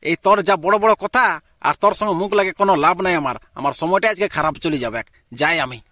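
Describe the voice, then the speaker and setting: A man's voice: high-pitched, animated, fast talker, bright, forceful tone, dry recording, studio quality. A man with a Purulia Bengali accent voicing a put-upon village husband in an amateur cartoon, complaining and scolding. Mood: bitter, scolding.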